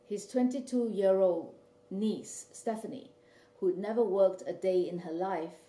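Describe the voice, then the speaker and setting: Woman from Malaysia reading her short story aloud, mellow tone, recorded in a roomy space from a distance. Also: medium pitch. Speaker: author reading her own fiction. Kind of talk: literary reading.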